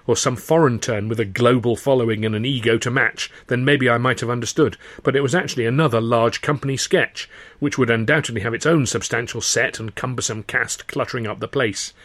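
A male narrator reads a scripted comic story in audiobook style. His pitch is middling for a man, and he tells it wryly, as the author of the tale. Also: a bit bright.

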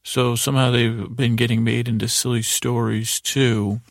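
A man hosting a sleep podcast, rambling softly and hesitantly through a lulling bedtime monologue, often repeating himself and trailing off. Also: medium pitch, flat delivery, moderate pace, dry recording, clean studio sound. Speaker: podcast host.

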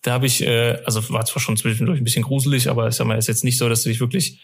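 Fast-talking, chatty man. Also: mid-range voice, level tone, creaky, breathy edge. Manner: chatty, slangy, rambling storytelling. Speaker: podcast co-host. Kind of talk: casual fan podcast chat.

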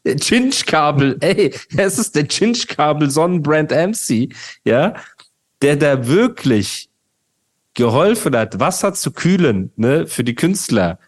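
A man's voice: fairly high pitch, big pitch swings, takes it slowly. Man mocking a rapper in a rant. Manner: mocking, ranting, slangy. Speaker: podcast host. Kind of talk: casual chat.

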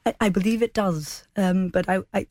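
A woman answering as a politician in an interview, measured and careful with hesitant phrasing. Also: medium pitch, steady tone, darkish tone, no echo, clean studio sound.

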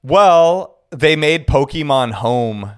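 Podcast host guy, smooth clear voice, animated and questioning. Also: a little high, slow. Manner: animated, questioning, laughs easily. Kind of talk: casual podcast conversation.

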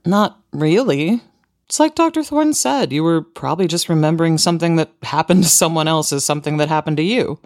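A woman's voice: low voice, lively intonation, fairly quick, a little mellow, no echo, clean studio sound. An actress playing a practical, dismissive woman podcaster with an American accent, casual and matter-of-fact in a naturalistic audio drama. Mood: dismissive, reassuring.

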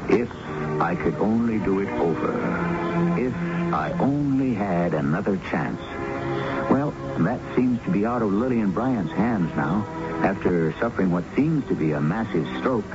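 A man hosting and narrating a 1970s American radio drama in a solemn, deliberate, theatrical style. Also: on the low side, lively intonation. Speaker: host and narrator. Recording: muffled radio broadcast with loud music.

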